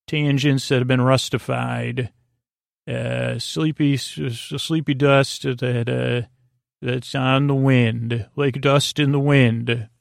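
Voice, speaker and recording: Man, gravelly creak, soothing and halting, meandering sleep monologue, dry close sound. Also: mid-range voice, little pitch movement, no room sound. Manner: lulling, soothing delivery. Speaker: podcast host. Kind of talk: rambling bedtime monologue.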